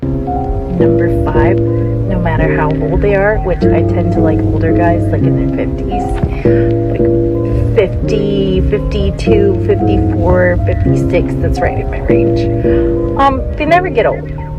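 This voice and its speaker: Woman, dreamy drawn-out talk, slow, dull lo-fi sound with music. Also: fairly low pitch.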